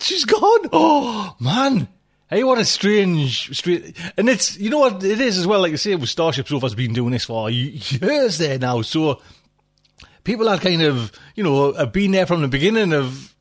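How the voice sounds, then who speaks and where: A middle-aged man, hosting a podcast in rambling, chatty talk, laughing and swearing now and then. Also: a little high. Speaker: podcast host. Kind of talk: casual chat.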